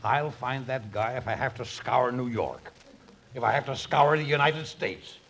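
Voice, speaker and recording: An actor playing a pompous, wisecracking waiter who poses as a Grand Duke in 1950s radio comedy. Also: male voice, mid-range voice, normal speed, a bit bright. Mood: eager, wisecracking. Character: comic blustering waiter posing as a Grand Duke. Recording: faintly noisy old radio broadcast.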